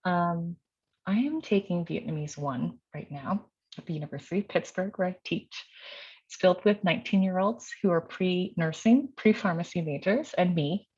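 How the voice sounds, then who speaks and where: Lower-voiced woman poet at a reading, dull recording. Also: normal speed, darkish tone, breathy edge. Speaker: poet and university teacher reading own work. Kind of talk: poetry reading with casual introductory remarks. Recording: dull, lo-fi sound.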